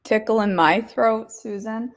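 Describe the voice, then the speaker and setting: Wheezy woman devotional host, coughs and clears her throat, chatty and direct with listeners, reads scripture aloud. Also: a little high, steady tone, a little slow. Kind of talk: casual chat then Bible reading aloud.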